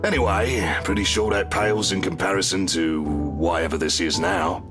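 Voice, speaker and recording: A very low-pitched older barkeeper played by a male actor speaks with gruff, teasing bluntness. His slangy, wry delivery belongs to a story-driven video game, with music playing underneath. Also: moderate pace, natural voice. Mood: teasing, blunt. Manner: blunt, wry, slangy, calls listeners kiddo. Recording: voice over background music.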